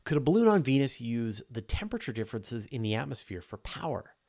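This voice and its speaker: A man's voice: mid-range voice, very animated, quick pace, darkish tone. A man hosting a casual live question-and-answer session, explaining things step by step in an enthusiastic, conversational way. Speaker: host of a live astronomy Q&A.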